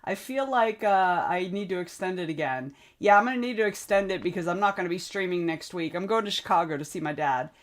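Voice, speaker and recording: A woman hosting a casual writing livestream, chatting and greeting viewers by name. Her voice is a little hoarse and wobbly, and she keeps clearing her throat. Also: on the low side, brisk, few pauses. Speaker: livestream host. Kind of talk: casual chat on a writing stream.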